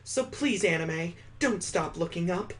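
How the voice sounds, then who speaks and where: A man voicing a gentle, wistful young woman from a visual novel, with soft, earnest, dramatic delivery. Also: sits high, expressive, a little slow. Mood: tender, hopeful.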